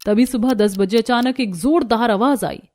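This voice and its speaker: A woman's voice: on the high side, moderate pace, warm tone. A woman narrating a scripted Hindi cartoon story as its storyteller.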